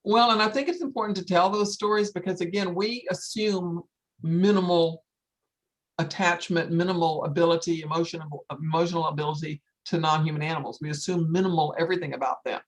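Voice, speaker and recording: A woman's voice: lowish voice, fairly even intonation, brisk, rough recording. An older woman speaking earnestly and informally to an audience, stressing her points by repeating phrases. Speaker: wildlife sanctuary founder hosting a story time.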